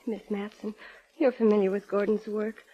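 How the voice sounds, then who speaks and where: An actress playing an anxious, halting, earnest woman seeking help for her brother in a 1950s radio drama. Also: mid-range voice, level tone, mellow, natural voice. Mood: anxious, pleading. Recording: dull vintage radio recording.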